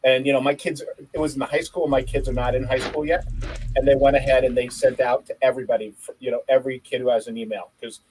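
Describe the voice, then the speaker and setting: A man co-hosting a tech podcast, chatting casually and telling a story in an animated, joking way. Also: medium pitch, quick pace, a little hiss or hum, low-quality recording. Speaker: podcast co-host. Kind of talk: casual chat.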